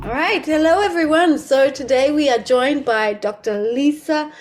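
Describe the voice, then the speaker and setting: A woman's voice: high voice, normal speed, music in the background. A woman speaking as a podcast host, introducing and chatting casually with a guest.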